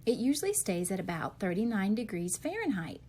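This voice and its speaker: A slightly husky-voiced woman reading a children's picture book aloud, posing questions and answering them expressively for young listeners. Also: medium pitch, big pitch swings, brisk, darkish tone.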